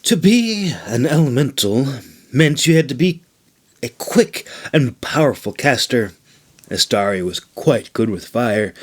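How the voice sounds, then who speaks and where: A man reading his own fantasy novel as a scripted audiobook narration, with deliberate, measured phrasing. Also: medium pitch, animated.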